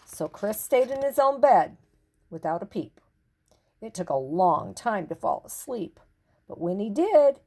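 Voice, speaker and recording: An older woman narrating a children's picture book expressively, with deliberate dramatic emphasis and pauses. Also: a little high, a little mellow, slightly rough. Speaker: storyteller reading to children.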